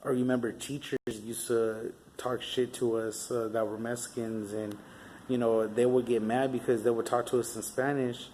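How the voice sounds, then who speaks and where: A man's voice: medium pitch, level tone, moderate pace, darkish tone, slight background noise, rough recording. A young man, telling stories in a casual, rambling vlog, swearing freely and leaning on fillers. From Southern California. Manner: rambling, profane street talk with many fillers. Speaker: vlogger. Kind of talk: casual storytelling monologue.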